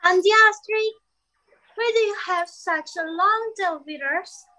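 A young woman playing a curious, polite elephant child in a readers theater, asking questions in a drawn-out way. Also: very high-pitched, sharp tone, exaggerated voice, rough recording. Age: young adult. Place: room at home, on video call. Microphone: video call microphone.

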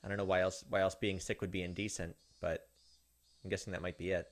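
A man's voice: low-pitched, little pitch movement, quick pace. A man, reading visual novel narration aloud with asides into a close microphone. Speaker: let's play host. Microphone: close desk microphone. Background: game music.

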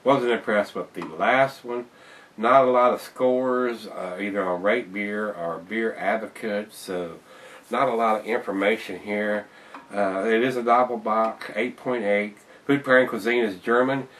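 A man's voice: fairly low pitch, fairly even intonation, a little slow, a bit bright, distant mic. A middle-aged man hosting a casual beer review from a room at home, chatty and folksy, talking to viewers as guys. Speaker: beer reviewer, show host. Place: home room with glass shelves, indoors. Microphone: camera on tripod across the table.

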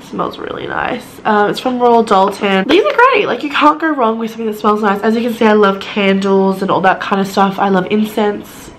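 A bubbly woman in her twenties talks straight to the camera in her bedroom, her mid-range voice a little raspy from being sick. She chats warmly and jumps up into high excited tones when quoting herself. A faint hum sits under the close recording. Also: moderate pace, few pauses. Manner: chatty and bubbly, rising into high excited quotes. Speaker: beauty vlogger. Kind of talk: casual haul video. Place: bedroom, indoors. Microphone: camera close up, facing viewer.

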